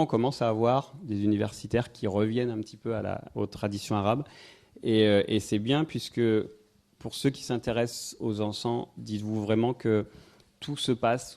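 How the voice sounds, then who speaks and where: A man with a low, mellow voice talks to a festival audience, full of hesitations and filler sounds. He rambles loosely from point to point and drops in dry, wry asides. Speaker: speaker giving a talk on incense history. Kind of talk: informal public talk to a live audience. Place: festival evening event, indoors.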